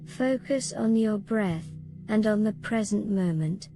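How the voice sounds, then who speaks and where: Female meditation guide, mid-pitched, gentle and measured scripted delivery, gentle music clearly behind. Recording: voice over clearly audible music. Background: gentle relaxation music.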